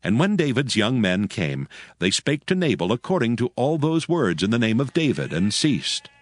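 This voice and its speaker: A man's voice: medium pitch, big pitch swings, moderate pace, no echo, studio quality. A man narrating scripture as a scripted dramatic reading. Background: music.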